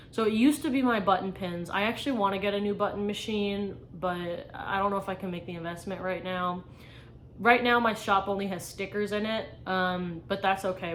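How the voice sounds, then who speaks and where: Young woman vlogger from New York, rambling and casual, swears offhand, faint hiss behind her. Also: mid-range voice. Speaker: small business artist. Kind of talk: casual vlog answering tag questions.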